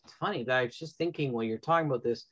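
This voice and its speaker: A man's voice: medium pitch, rapid-fire. A middle-aged man moderating a casual panel discussion over a lo-fi video call. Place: indoor room at home. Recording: dull lo-fi video call audio.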